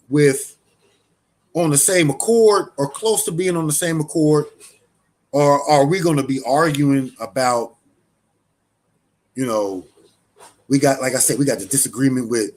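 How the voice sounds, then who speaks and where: A man giving loose, conversational commentary, full of restarts and fillers, as a show host. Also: mid-range voice. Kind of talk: casual spoken commentary.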